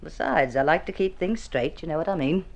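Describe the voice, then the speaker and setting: Low-pitched, lively landlady. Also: fairly quick, gravelly, full character voice. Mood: businesslike, wary. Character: businesslike, suspicious, plain-spoken landlady, nosy but civil. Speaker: radio drama actress. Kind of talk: golden age radio drama acting.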